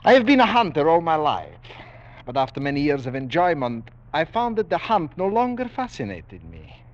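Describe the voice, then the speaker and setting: An actor playing a suave, menacing aristocratic general who hunts men for sport, speaking with courtly, formal boastfulness in 1940s radio style. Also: male voice, on the high side, huge pitch swings, moderate pace. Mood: smugly confiding. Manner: courtly, formal, coolly boastful, savoring his words. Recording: dull vintage 1940s radio broadcast.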